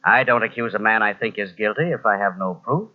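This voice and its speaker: An actor playing a wily, patient police inspector, speaking with sly, deliberate casualness in old-time radio drama style. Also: male voice, lowish voice, lightly acted. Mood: calculating. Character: wily, patient male police inspector, cat-and-mouse interrogator. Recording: dull lo-fi old radio cassette transfer.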